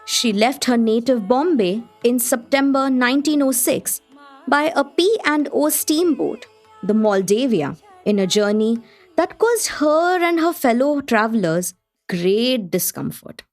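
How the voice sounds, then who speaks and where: A gracious Indian lady editor from the early twentieth century, hosting a radio play. Her voice sits fairly high and her delivery is formal and measured, with soft music behind it. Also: animated. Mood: gracious, explanatory. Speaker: host. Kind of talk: scripted radio play narration.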